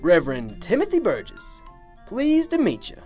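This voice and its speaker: A man's voice: sits high, wildly swooping pitch, moderate pace, soft background music. A man playing a genial, sly small-town preacher in an amateur radio drama, folksy and insinuating.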